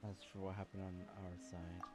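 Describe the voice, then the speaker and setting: A man's voice: sits low, monotone, a little fast. A man reading dialogue and narration aloud as he plays a video game. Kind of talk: reading game text aloud over gameplay. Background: game music.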